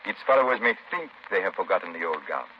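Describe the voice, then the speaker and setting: An actor playing a courtly, grave French-speaking plantation host, talking formally in a 1930s radio drama. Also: male voice, low voice, sing-song, quick pace. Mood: grave, ominous. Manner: formal, polite, ominous storytelling. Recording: muffled, hissy 1930s radio recording.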